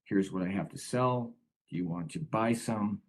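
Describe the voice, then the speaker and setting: Husky-voiced man presenting a webinar. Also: lowish voice, normal speed. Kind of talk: prepared story reading and discussion.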